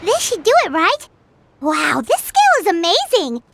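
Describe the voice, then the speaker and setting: A woman voicing a small, talkative fairy-like girl companion in a video game, squeaky and childlike, exclamatory and easily indignant. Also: wildly swooping pitch, moderate pace, gravelly, a bit bright, slight background noise, heavily acted. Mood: curious, chatty. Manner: refers to self in third person, exclamatory. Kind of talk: animated video game character acting.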